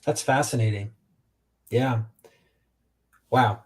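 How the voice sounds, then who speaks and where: A man hosting a conversational interview, speaking in a measured, articulate and reflective way. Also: mid-range voice. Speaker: host, interviewer.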